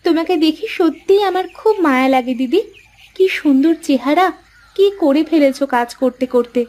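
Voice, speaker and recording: A woman voicing fussing, affectionate village sisters in a cartoon, in an expressive, homely way. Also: high voice, normal speed, close to an everyday voice. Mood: concerned, chatty. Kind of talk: cartoon voice acting. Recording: faint music behind the voice.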